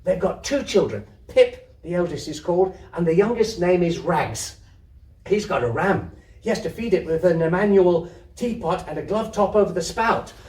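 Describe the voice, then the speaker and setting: A man's voice: sits high, expressive, normal speed, a little mellow, voice pushed up. A man voicing a curious, imaginative little girl in a storybook, full of eager questions and childlike prattle. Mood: chatty, eager. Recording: roomy echo, distant microphone.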